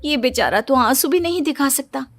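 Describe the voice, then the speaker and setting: A woman narrates a story with a high, lively voice. Her delivery is dramatic and wry, addressing the listener directly over soft music. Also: moderate pace.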